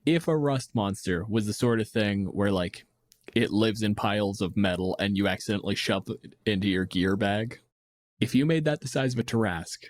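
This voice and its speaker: A man's voice: lowish voice, moderate pace. A man co-hosting a podcast, chatting casually about tabletop games in a blunt, profane, joking way. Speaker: podcast host.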